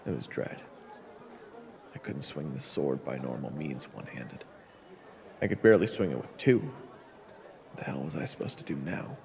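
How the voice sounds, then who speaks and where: A man's voice: very deep voice, gravelly, warm tone, slight background noise, heavily acted. An actor playing a glum, wry young swordsman who narrates his own tale in an audio roleplay. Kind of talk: first-person scripted narration and audio roleplay acting.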